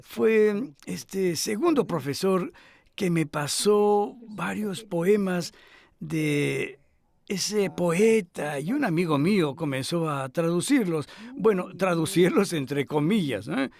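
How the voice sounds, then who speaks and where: An older man with a notably high voice answers interview questions at a measured, thoughtful pace. He pauses often with hesitant fillers as he recalls things. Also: expressive, gravelly, darkish tone. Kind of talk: reflective interview talk.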